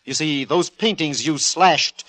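A man's voice: a little high, unhurried, fairly bright tone. A man playing a coolly cutting, condescending art critic in a 1950s radio drama. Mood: cool, accusing.

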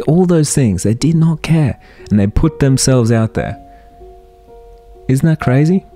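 Earnest low-voiced man urging listeners. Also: dark tone. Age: 30s. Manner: earnest, urging, full of rhetorical questions. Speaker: podcast host. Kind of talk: solo motivational podcast monologue.

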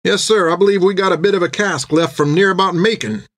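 A man's voice: sits high, fast, fairly bright tone, dry recording, clean studio sound, pitched above the performer's own voice. A man voicing a chatty, impatient bald tavern waiter with folksy old-time speech, in an audiobook. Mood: chatty, amused.

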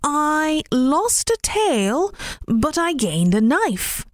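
A woman playing a high, kindly storybook rabbit. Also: big pitch swings, drawn-out words, no room sound, clean studio sound, heavily acted. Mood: bargaining. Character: generous gentle male rabbit. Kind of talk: storybook reading.

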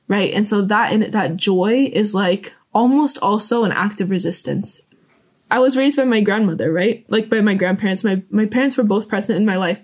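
A woman from Seattle talking in a casual, animated interview, with many fillers. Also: medium pitch. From Seattle, United States.

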